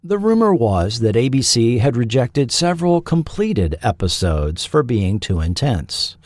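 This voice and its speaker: A man's voice: medium pitch, a little mellow, no echo, clean studio sound. A man narrating a scripted documentary as its host.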